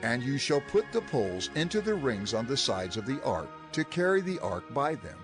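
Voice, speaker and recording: An actor playing a solemn male scriptural figure giving firm instructions in a measured, declamatory dramatized reading. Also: mid-range voice, natural voice.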